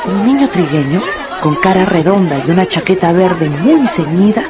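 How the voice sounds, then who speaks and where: A woman narrator reading a scripted radio story in an expressive, dramatic storytelling manner. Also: fairly low pitch, normal speed. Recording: loud music and crowd effects behind.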